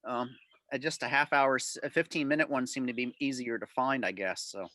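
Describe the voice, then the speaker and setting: A man's voice: mid-range voice, steady tone, brisk, sharp tone, dry recording. A man answering club members' questions informally on a video call, explaining things in detail.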